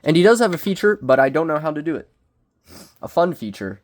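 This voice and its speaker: A young man with a cold, sniffling as he reviews a collectible figure in casual, self-deprecating, excitable rambling. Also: on the high side, brisk. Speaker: toy reviewer. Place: small bedroom.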